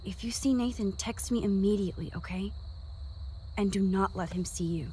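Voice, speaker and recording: A woman's voice: medium pitch, moderate pace, dark tone, slight background noise, lightly acted. An earnest young student, played by a woman with a mid-range voice and a natural, caring, slightly anxious manner. It is video game drama, with faint night ambience behind her. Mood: concerned.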